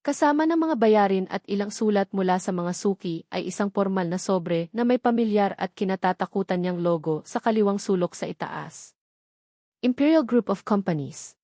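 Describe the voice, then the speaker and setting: A woman's voice: mid-range voice, animated, moderate pace, dark tone, no echo. Filipino woman storyteller, dry close sound. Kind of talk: scripted dramatic narration of a love story.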